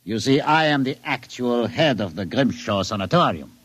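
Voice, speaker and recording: An actor playing a sinister Nazi scientist who poses as a mad count, pompous and theatrical, in 1950s radio drama style. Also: male voice, mid-range voice, lively intonation, moderate pace, fairly bright tone, breathy edge, no echo. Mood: smug, formal. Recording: old radio recording.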